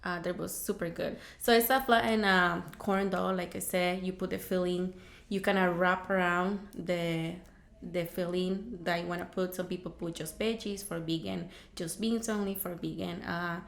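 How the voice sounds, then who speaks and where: A woman's voice: on the low side, steady tone, normal speed, gravelly, echoey room. A woman from El Salvador, a food truck founder explaining her food step by step in a casual interview, with many fillers.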